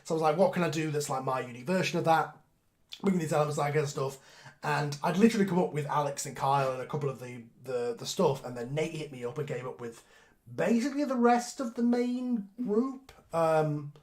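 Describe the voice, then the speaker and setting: A man, the show's creator, chatting loosely on a group video call, rambling with quick asides. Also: fairly high pitch, darkish tone. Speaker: show creator and host. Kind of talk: casual aftershow chat on a group call.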